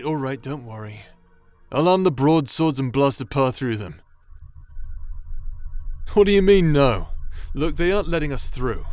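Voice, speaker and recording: A man's voice: a little high, dry recording, close to an everyday voice. Man playing a sarcastic, brash warrior over music. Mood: exasperated. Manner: sarcastic, irreverent. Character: brash male bastard warrior, sarcastic, teasing, foul-mouthed. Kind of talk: audio roleplay acting.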